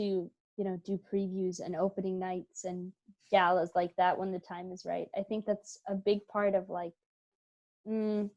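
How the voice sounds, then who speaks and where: Woman on a video call interview, normal mid pitch, chatty, sorta thinks out loud as she goes. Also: highs cut off. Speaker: interview guest, theater company member. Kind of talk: casual interview chat.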